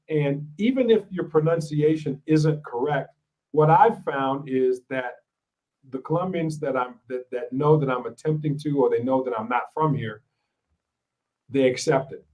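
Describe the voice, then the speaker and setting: A man's voice: medium pitch, measured pace, a little mellow, distant mic, low-quality recording. Affirming male host from Ohio. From Ohio, USA. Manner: affirming, frequent agreement, gracious. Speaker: podcast host. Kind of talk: casual interview.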